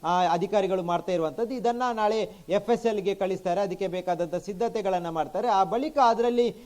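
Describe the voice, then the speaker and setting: Male field correspondent, high-pitched, Karnataka accent, explanatory and repetitive live news reporting. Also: a little fast, a little mellow.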